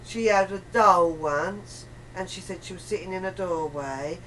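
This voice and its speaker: A woman's voice: on the low side, moderate pace, a bit bright. A woman chatting casually in a rambling, emphatic, colloquial way, often acting out bits of reported dialogue. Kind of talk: casual chat. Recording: distant mic, faint room noise.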